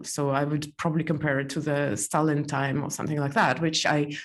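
Low-voiced woman guest from Estonia. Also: little pitch movement. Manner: measured, pauses with fillers and restarts. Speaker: interview guest. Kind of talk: conversational interview answers.

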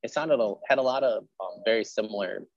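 A man with a low voice hosts a casual interview. He tells anecdotes in a chatty, animated manner, acting out quotes as he goes. The audio is dry and somewhat muffled. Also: a little fast, vocal fry, no echo. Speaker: podcast host; teacher.

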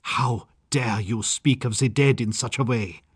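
A man's voice: medium pitch, unhurried, breathy edge, dry recording, clean studio sound. A man voicing a tense, indignant military lieutenant in an audiobook, with a clipped, urgent delivery. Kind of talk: audiobook character acting.

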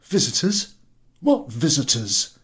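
A man voicing a flustered, cowardly country gentleman in a story, blustering, bossy and full of panicky exclamations. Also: mid-range voice, sing-song, slow pace, pitched above the performer's own voice. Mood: groggy.

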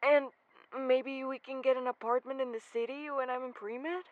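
Woman acting a gentle lovestruck young student, soft hesitant delivery, higher voice, dry close sound, audio drama. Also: steady tone, moderate pace, dry recording, highs cut off, lightly acted. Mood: hopeful, tender. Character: gentle, affectionate young pre-med hopeful in love.

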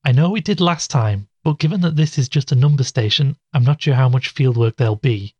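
A man playing a podcast host who investigates mysteries, presenting in a measured, explanatory way in an audio drama. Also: medium pitch, warm tone, husky, no room sound, dull audio, lightly acted. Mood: relaxed, conversational.

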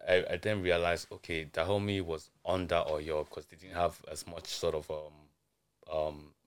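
A man guesting on a podcast, speaking in a measured way and steering the discussion with questions. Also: deep voice, flat delivery, clear, resonant tone. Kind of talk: casual chat.

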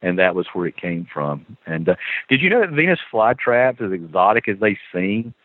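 A man's voice: low-pitched, sing-song, moderate pace, no room sound. A man with a Southern US accent, a guest author in a casual podcast chat, who talks animatedly and chuckles as he tells stories. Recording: tinny phone-line audio.

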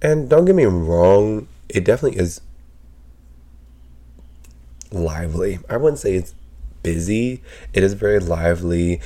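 A man hosting his own podcast, talking casually with a sick, raspy voice, rambling with lots of fillers and swearing. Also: sits low, lively intonation, normal speed, warm tone. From Pennsylvania. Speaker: podcast host. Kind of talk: casual solo podcast chat.

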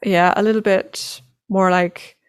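A woman's voice: mid-range voice, steady tone, a little slow, smooth. A woman speaking as a podcast guest, telling travel anecdotes in a casual, informal way.

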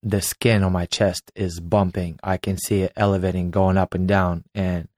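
A man in his late twenties from Ukraine, telling his own story as a former competitive swimmer in a slow, halting way. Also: sits low, level tone, a little mellow, dry recording, studio quality. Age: late 20s. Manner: unhurried, halting, recounting a memory. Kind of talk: personal storytelling.

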